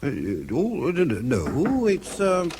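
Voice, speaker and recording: A man's voice: high voice, huge pitch swings, takes it slowly, noisy background, exaggerated voice. An actor playing a vague, flustered older British professor in a radio drama, dithering and repeating his words. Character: vague, flustered old male professor in charge.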